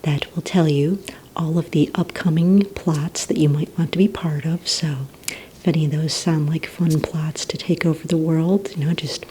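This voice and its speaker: A woman doing a soft-spoken ASMR roleplay as a polite receptionist, in a gentle, breathy near-whisper with unhurried delivery. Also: low-pitched, fairly even intonation, slight background noise, poor audio. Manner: gentle, polite, unhurried customer-service patter. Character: courteous, organized female villain-agency receptionist. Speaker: ASMR roleplay performer as a villain recruitment receptionist.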